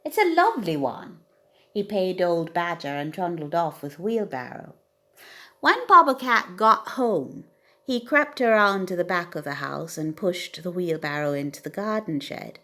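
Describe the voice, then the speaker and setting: Lively woman reading a children's bedtime story. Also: lowish voice, unhurried. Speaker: storybook reader.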